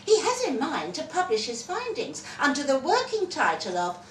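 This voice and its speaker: A woman reading a literary short story to a live audience in a theatrical, wry and deliberate way. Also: sits high, wildly swooping pitch. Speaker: reader performing a short story at a festival. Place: live festival reading in a roomy indoor space. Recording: roomy live event recording, distant mic, faint noise.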